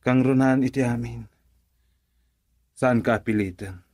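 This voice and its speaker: A man's voice: medium pitch, normal speed, darkish tone, no room sound, lightly acted. A man acting a middle-aged family friend, a tender and patient suitor in a radio drama, with a Filipino accent. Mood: earnest, confessing.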